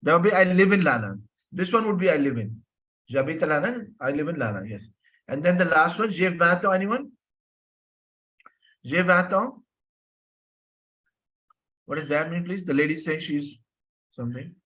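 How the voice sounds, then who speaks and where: A man's voice: a little high, expressive, normal speed, pauses often, bright, forceful tone. A man teaching a French class online, patiently explaining phrases, repeating himself and asking students questions. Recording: dull lo-fi audio.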